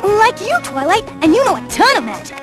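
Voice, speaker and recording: A woman voicing a cheeky, eager little boy dragon sidekick in a cartoon, with a boyish, excitable way of talking. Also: very high-pitched, a little fast, music in the background. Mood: admiring, excited. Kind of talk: animated cartoon dialogue.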